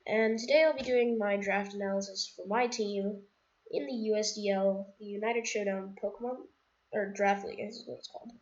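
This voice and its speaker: A woman's voice: mid-range voice, steady tone, normal speed, darkish tone, highs cut off. A woman with a head cold and a nasal voice, giving a casual, emphatic gaming analysis straight to her viewers. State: sick with a cold. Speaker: video creator.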